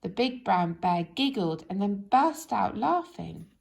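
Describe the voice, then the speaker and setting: A woman reading a children's story aloud in an expressive, animated way, speaking directly to young listeners. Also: mid-range voice, measured pace, creaky. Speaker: storybook reader.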